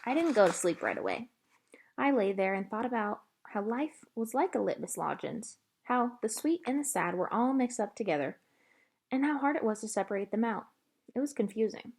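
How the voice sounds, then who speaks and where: A woman narrating a children's book aloud in an expressive read-aloud style. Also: a little high, normal speed, husky.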